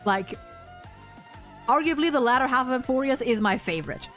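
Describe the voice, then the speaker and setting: A woman hosting a livestream, chatting casually and excitably and swearing freely. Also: high-pitched, normal speed, faint music behind. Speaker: streamer host.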